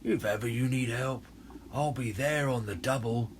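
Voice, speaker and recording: A man's voice: mid-range voice, normal speed, a little hiss or hum, close to an everyday voice. Man doing a big bull shark, theatrically contrite. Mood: grateful. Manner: begging, theatrical delivery. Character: big male bull shark, nasty bully turned contrite. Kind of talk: children's storybook character voice.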